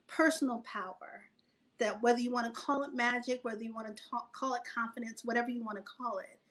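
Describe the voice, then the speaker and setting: Woman, fairly high voice, writer guest talking earnestly and informally. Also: a bit bright, poor audio. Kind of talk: casual, earnest interview talk.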